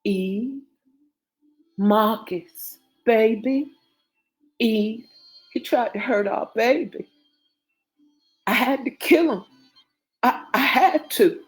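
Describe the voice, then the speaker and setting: A woman's voice: fairly high pitch, drawn-out words, pauses often, soft background music, rough recording. A woman voicing a shaken father, stammering and repeating himself, desperate about his hurt child in an audiobook. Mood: dazed, distraught. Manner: stammering, repetitive. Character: shaken young father protecting his injured baby.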